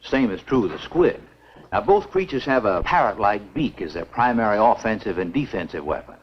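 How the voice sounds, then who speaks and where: An actor playing a thoughtful, grave older doctor in a 1950s B-movie, explaining in a measured, lecturing way with a husky voice. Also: male voice, lowish voice, very animated. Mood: explanatory. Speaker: film actor. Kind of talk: 1950s B-movie screen acting. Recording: muffled 1950s film soundtrack.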